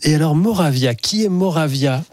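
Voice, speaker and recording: A man's voice: on the high side, expressive, moderate pace, darkish tone, dry recording, studio quality. Curious male interview host. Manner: curious, asks short follow-up questions. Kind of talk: conversational interview.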